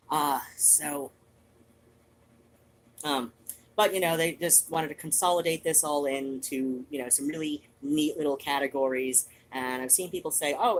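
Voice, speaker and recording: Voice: medium pitch, a little hiss or hum, rough recording. A streamer chatting casually to viewers in a rambling, digressive way.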